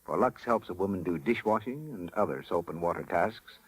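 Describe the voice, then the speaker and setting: An American man announcing on radio, reading scripted 1930s narration in a polished, measured way. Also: sits low, lively intonation, slightly rough, low-quality recording. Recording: 1930s radio broadcast with music.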